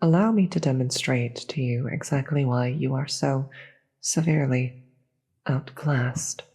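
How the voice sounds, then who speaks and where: A performer voicing a weary, regal demon queen with a husky voice and formal, theatrical manner in a scripted audio roleplay. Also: mid-range voice, darkish tone. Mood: condescending, amused. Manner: theatrical, formal, slow deliberate delivery.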